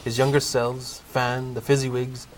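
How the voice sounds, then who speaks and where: A man's voice: mid-range voice, flat delivery, measured pace. A man narrating a comedic Christmas audio play from a script, in storybook style. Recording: clearly noisy amateur recording.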